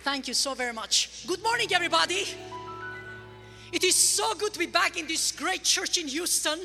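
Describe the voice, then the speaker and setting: A woman with an Armenian accent, a guest speaker giving a lively, humorous church testimony, heard in a large church. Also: very high voice, moderate pace, creaky. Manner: lively, humorous, teaching the crowd. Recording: large church hall reverb with faint music.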